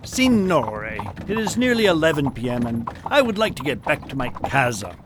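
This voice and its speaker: A man's voice: fairly high pitch, sing-song, moderate pace. A man with an Italian accent voicing a weary cab driver in 1890s Naples, polite but impatient, for a radio play. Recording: noisy night ambience with crickets.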